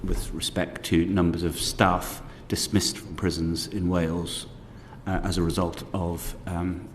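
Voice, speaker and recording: A low-voiced man asks questions at a formal committee hearing in a measured, courteous way. His voice rings with a long hall reverb over faint background noise. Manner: measured, polite, hedging questions. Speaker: committee member questioning witnesses. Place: parliamentary committee room.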